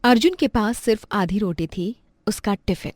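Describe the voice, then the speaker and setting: A woman's voice: medium pitch, normal speed, a little mellow, no room sound, clean studio sound. A woman delivering scripted narration for a moral bedtime story.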